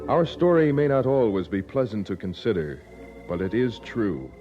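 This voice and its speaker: A man's voice: on the low side, lively intonation, moderate pace, dark tone. A man announcing and narrating a radio drama in a solemn, scripted style. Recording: dull old radio recording. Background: music.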